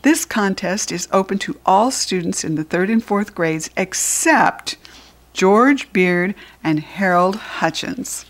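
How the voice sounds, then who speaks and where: A woman's voice: mid-range voice, lively intonation, slow pace. A woman voicing a gloating, angry male school principal in a children's storybook, stern and scolding. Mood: smug, stern.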